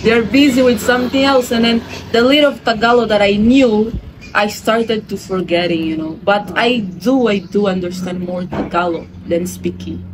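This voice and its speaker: A young woman from Angola, a guest in a casual interview, talking in a relaxed, thoughtful way with soft laughs. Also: medium pitch, moderate pace. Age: young adult. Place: indoors, room with a red wall and a barred window. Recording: noisy home video.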